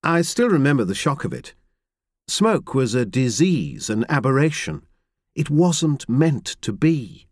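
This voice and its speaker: A man reading a novel aloud in first person, with measured, reflective and grave storytelling from a close studio mic. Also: mid-range voice, very animated, no echo. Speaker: audiobook narrator. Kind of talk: first-person literary narration.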